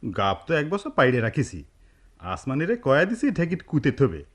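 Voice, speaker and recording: Casting: homely, doting village family man, rural Bangladeshi accent, mid-pitched, extremely animated radio play delivery. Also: a little fast. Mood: homely, affectionate. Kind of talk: radio drama acting.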